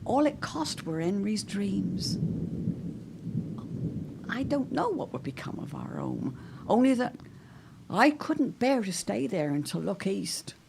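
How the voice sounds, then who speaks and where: Woman as older widow, period radio play, highly animated intonation, stately old-fashioned diction, proud, fretful, faint noise. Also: slow, gravelly, pauses often, full character voice. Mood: melancholy. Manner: dramatic, old-fashioned formal phrasing. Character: genteel older widow, anxious yet proudly stubborn. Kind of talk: period audio drama acting.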